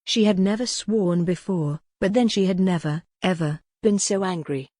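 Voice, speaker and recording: Female audiobook narrator, lowish pitch, dry recording, dramatic scripted storytelling for dark period romance. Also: moderate pace, no room sound.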